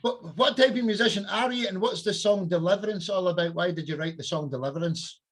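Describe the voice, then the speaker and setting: A man's voice: sits high, quick pace, fairly bright tone. A man hosting a podcast, upbeat, passing the word on with short bits of praise. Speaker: podcast host. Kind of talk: hosting a guest round.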